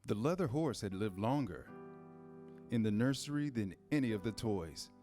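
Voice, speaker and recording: Male narrator, fairly low pitch, scripted children's story reading, soft guitar backing. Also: lively intonation, moderate pace.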